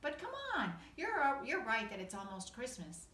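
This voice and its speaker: A woman's voice: on the high side, sing-song, moderate pace, pushed and bright, echoey room, far from the mic. A woman voicing a kindly, reassuring old Santa Claus in a storybook reading. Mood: warm, reassuring.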